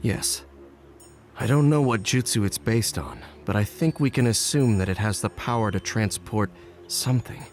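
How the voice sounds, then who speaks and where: A man playing a stern, composed ninja father in an anime game cutscene, speaking in a measured, matter-of-fact way. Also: lowish voice, expressive, close to an everyday voice. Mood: grave, explanatory. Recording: background music under the dialogue.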